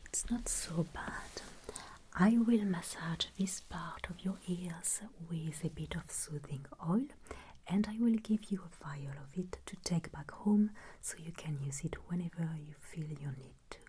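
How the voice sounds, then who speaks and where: A woman whispering softly and breathily in an ASMR roleplay as a gentle, reassuring examiner who takes her time. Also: on the low side, unhurried, slight background noise. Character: gentle, attentive ear and skin examiner. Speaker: ASMR performer playing a caregiver.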